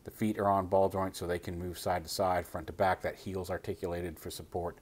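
Man, low voice, casual toy review, step-by-step explaining, indoor tabletop. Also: flat delivery, fairly quick, husky. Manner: casual, explains step by step while handling a figure. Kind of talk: hands-on toy review walkthrough.

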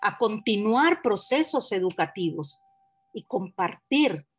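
Woman in her sixties, steady webcam read over music. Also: medium pitch, takes it slowly, breathy edge. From Honduras. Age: 60s. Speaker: older rights advocate. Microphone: video call webcam.

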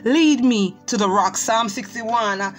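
A woman's voice: fairly high pitch, unhurried, faint music behind. A woman leading fervent spoken prayer, reciting scripture and pleading with repeated exclamations of praise. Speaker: prayer leader.

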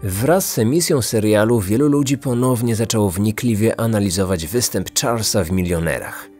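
A man's voice: fairly low pitch, normal speed, a little mellow, soft background music. A man reading scripted narration as the host of a true crime podcast.

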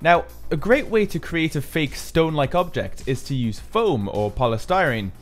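A man's voice: fairly high pitch, animated, moderate pace, faint music behind. A man giving an upbeat, explanatory voice-over for a build tutorial, as the maker himself. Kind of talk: scripted tutorial narration.

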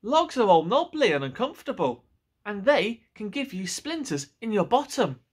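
A man's voice: sits high, very animated, moderate pace, breathy edge, pitched above the performer's own voice, full character voice. A man voicing a reluctant, complaining little frog full of questions, from a children's picture book. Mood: plaintive, hopeful. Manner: questioning, plaintive.

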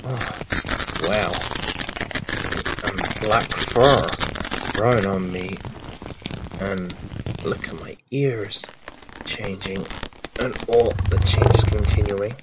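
An eager young friend, voiced by a man in a lowish, slow, plain manner. The home recording is dull, with steady hiss underneath.

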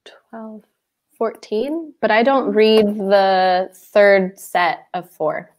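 A woman's voice: mid-range voice, very slow. A young woman speaking through earphones on a video call from home. Her voice sits mid-range for a woman, easygoing and full of fillers. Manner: relaxed, many "like" fillers, chatty. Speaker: English teacher. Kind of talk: casual livestream lesson chat. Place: small room at home, indoors. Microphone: earphone mic on a video call.

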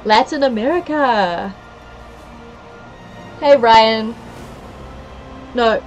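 A woman's voice: on the high side, very slow, lo-fi sound. A woman in her thirties with a New Zealand accent, talking casually into a headset mic in a small room. She is chatty and giggly and reels off place names from her viewers, with faint game music underneath. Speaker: livestreamer. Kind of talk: casual game-stream chat, reading out viewer comments.

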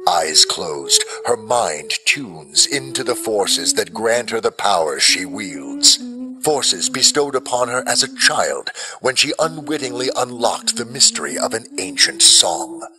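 A man's voice: fairly low pitch, very animated, unhurried, low-quality recording. A man reading scripted, dramatic narration as the narrator of an audio drama. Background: music.

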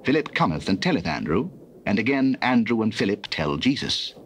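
A man's voice: on the low side, very animated, brisk, slight background noise. A man narrating a dramatized scripture in a formal, solemn storytelling manner.

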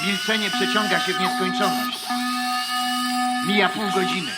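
An actor playing a pedantic, mock-solemn narrator who politely interjects in a comic children's radio play. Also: male voice, high-pitched, moderate pace, natural voice. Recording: loud music behind the voice.

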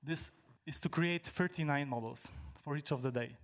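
A young man from Poland, a native Polish speaker, giving a technical talk on stage in a large hall, halting with many fillers and restarts. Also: on the high side. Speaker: conference speaker.

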